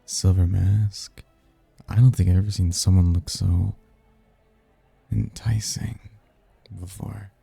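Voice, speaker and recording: An actor voicing a suave, flirtatious male demon in an ASMR roleplay, with a low, husky, gravelly voice and a teasing, smooth-talking manner. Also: steady tone, slow, pauses often, warm tone, soft background music, full character voice. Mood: bored, then intrigued.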